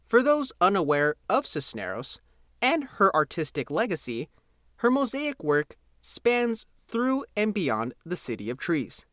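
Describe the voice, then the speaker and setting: A man's voice: sits high, fairly bright tone, no room sound, studio quality. A man reading a scripted local feature article as its reporter and narrator.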